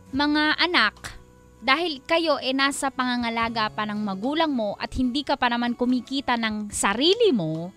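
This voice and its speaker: A woman's voice: a little high, pushed and bright. A woman with a Filipino accent co-hosting a radio advice talk in an emphatic, colloquial, admonishing style. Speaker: radio co-host. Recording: music playing behind the voice. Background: background music.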